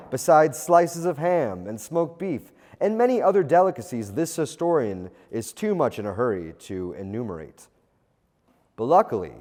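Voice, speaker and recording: A man reading a classic tale as a storyteller, with a theatrical, expressive, old-fashioned literary delivery. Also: medium pitch. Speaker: storyteller, audiobook reader. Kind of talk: dramatic scripted narration of a classic ghost tale. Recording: long reverberant hall echo.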